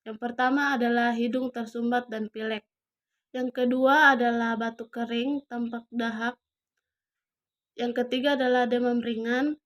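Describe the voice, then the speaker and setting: A woman's voice: fairly high pitch, level tone. A young woman, a nursing student, reading a health lesson and often stumbling and restarting words. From Indonesia. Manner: halting, frequent restarts on words.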